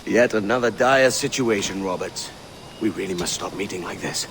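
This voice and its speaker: A roguish pirate captain in a video game. He has a lowish voice and a dry, confident, sardonic delivery, with faint ambience around him. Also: normal speed, a bit bright, slight background noise. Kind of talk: dramatic video game acting.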